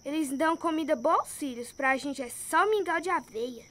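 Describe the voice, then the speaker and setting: A woman's voice: sits high, moderate pace, fairly bright tone. A woman with a Brazilian accent acting a small, hungry, plaintive orphan boy in a radio drama. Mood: resentful.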